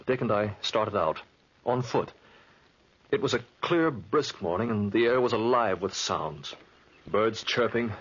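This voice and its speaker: An actor playing an ordinary married man who tells his story, with a husky voice, in 1940s radio drama style on a muffled old recording. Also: fairly low pitch, a bit bright. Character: troubled husband and defendant recounting a hunting trip.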